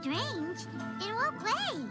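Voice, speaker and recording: A tiny, blunt fairy sidekick in a video game, chirping in a very high voice that leaps all over the place. Game music plays clearly underneath. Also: female voice, very animated, drawn-out words, heavily acted. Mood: curious. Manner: high, bouncy, speaks of herself by name.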